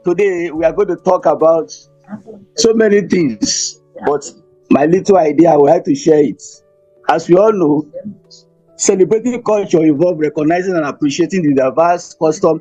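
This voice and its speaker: A Nigerian man delivers a formal talk with a husky, mellow voice set a little high. He speaks deliberately, phrase by phrase, like a seasoned guest lecturer. The audio is dull, with music behind him. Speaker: invited speaker, professor and motivational speaker. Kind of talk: formal conference presentation.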